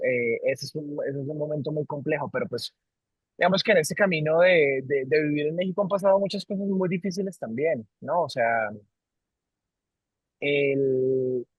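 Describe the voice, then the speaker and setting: A man's voice: on the high side, breathy edge, dry recording. A man with a Colombian accent, a company leader answering interview questions in an earnest, reflective way, often repeating words and ending sentences with "¿no?". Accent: Colombian Spanish. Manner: earnest, repeats words while searching for them, ends lines with "¿no?". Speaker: guest, company CEO.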